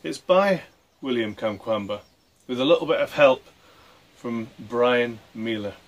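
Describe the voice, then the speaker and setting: A man reading a story aloud for children, with expressive, deliberate phrasing. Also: medium pitch, lo-fi sound. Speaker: storybook reader and presenter.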